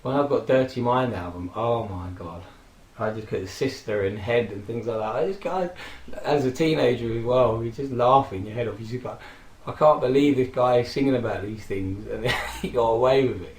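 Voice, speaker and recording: A man in his forties, hoarse from a throat infection, casually rambling and reminiscing with frequent fillers and laughs. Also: medium pitch, brisk, hardly any pauses, roomy sound, rough recording. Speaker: vlogger. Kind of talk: casual personal tribute monologue.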